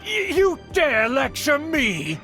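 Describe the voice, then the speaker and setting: A haughty older nobleman from an anime-style game. His voice sits high and swoops theatrically with indignation. He sounds pompous and scheming, a scolding uncle obsessed with family honor. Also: wildly swooping pitch, takes it slowly, sharp tone, faint music behind, full character voice. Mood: indignant.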